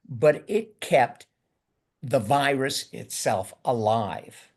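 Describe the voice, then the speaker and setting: A man's voice: mid-range voice, vocal fry, darkish tone, slightly rough. An older man giving a lecture in a loose, conversational way, often repeating words as he thinks. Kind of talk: university lecture with discussion.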